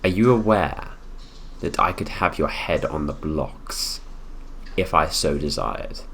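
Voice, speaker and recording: Low, slow, haughty prince played by a man. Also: animated, fairly bright tone, a little hiss or hum, full character voice. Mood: cold, threatening. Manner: condescending, deliberate, drawn-out phrasing with pauses. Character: haughty possessive young prince, heir to the throne, coolly menacing. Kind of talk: improvised ASMR roleplay, addressing the listener.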